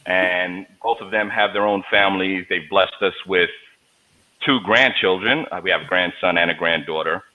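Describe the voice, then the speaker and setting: A man in his forties with a very low voice, speaking as an interview guest. He tells his stories with easy, joking humor. The audio sounds dull and lo-fi, like a video call. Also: normal speed. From New York, USA. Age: 40s. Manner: joking, storytelling, conversational. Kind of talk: casual interview talk.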